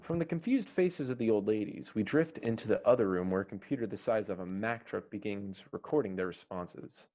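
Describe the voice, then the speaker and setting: A man's voice: lowish voice, a little fast, hardly any pauses, warm tone. Man narrating screenplay directions, dull lo-fi audio. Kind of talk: scripted narration at a table read. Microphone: video call. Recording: dull lo-fi online call audio.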